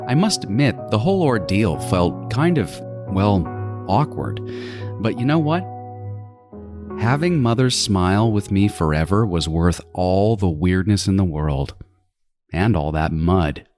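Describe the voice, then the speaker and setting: A man's voice: sits low, sing-song, darkish tone, soft background music. A man playing a meticulous, gloating serial killer in a horror audio drama, savoring his words in a theatrical monologue. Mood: gleefully instructive. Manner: theatrical, savoring, deliberate phrasing with sudden swings.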